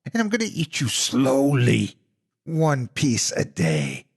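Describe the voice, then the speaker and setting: A man voicing a menacing female monster in a horror folktale, taunting and threatening in a drawn-out delivery. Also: mid-range voice, wildly swooping pitch, breathy edge, pitched above the performer's own voice, exaggerated voice. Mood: menacing, vengeful. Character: menacing female clawed monster posing as an auntie.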